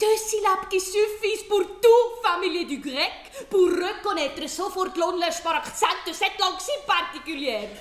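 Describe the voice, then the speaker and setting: A woman's voice: very high voice, animated, moderate pace, few pauses. A woman with a foreign accent, performing a playful personal monologue on a theatre stage and laughing through her words. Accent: foreign accent in French. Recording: echoey theatre hall.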